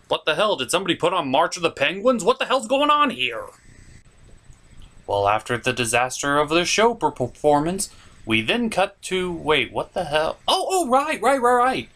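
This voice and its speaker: A man's voice: a little high, fairly bright tone. A man hosting a scripted comedic review, with theatrical, exasperated reactions. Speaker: host of a review show.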